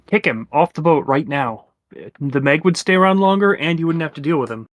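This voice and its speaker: A man co-hosting a casual movie commentary podcast, making dry deadpan quips. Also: on the high side, brisk, no room sound. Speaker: podcast co-host.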